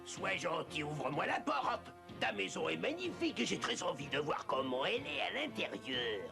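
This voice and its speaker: An actor playing a sly, hungry big bad wolf in a cartoon, gravelly and theatrical, wheedling and blustering. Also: male voice, sits high, sing-song, normal speed, exaggerated voice. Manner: theatrical, mock-polite wheedling turning to threats. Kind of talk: exaggerated cartoon dub acting. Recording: music behind the voice.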